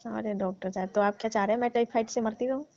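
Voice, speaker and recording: A woman's voice: fairly high pitch, fairly even intonation, fast, dark tone, no room sound. Woman coaxing a caller playfully on the phone. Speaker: caller posing as patient. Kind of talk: staged funny phone call. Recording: muffled phone call recording.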